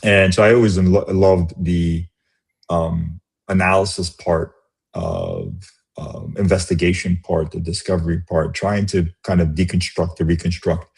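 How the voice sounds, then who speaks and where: A middle-aged man, born in Romania and raised in the US, speaking as an interview guest in a reflective, halting way with many restarts. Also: sits low, creaky, a little mellow, husky. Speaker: guest, business executive. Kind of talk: casual interview conversation.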